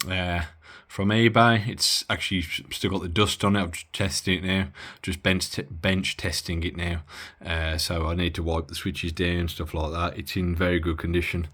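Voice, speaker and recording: A man giving a casual, rambling bench-test walkthrough of an old radio, often pausing and correcting himself. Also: deep voice, flat delivery, a bit bright. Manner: rambling, self-correcting, lots of filler. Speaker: radio hobbyist reviewing gear.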